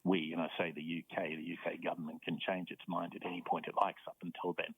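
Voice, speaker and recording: A man with an Australian accent, a university professor giving measured explanations as a guest in a radio interview, often repeating words as he starts a point. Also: low voice, level tone, gravelly, fairly bright tone, husky, no echo, poor audio.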